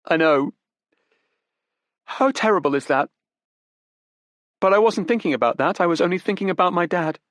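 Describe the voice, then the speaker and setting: A man's voice: expressive, normal speed, pauses often, fairly bright tone, no echo. A man voicing a distraught young Englishwoman in an audiobook, pleading and shaky. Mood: desperate. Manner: breathless, pleading, high and shaky. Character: distraught young woman, tearful and desperate. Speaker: murder suspect's daughter. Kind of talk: audiobook character voice.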